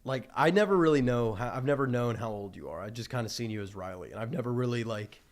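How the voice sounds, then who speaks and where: A man's voice: medium pitch, lively intonation, fast talker. A twenty-year-old man hosting a casual podcast in a small studio, talking loosely with lots of fillers and restarts. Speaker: podcast host. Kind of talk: casual chat. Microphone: studio mic on boom arm.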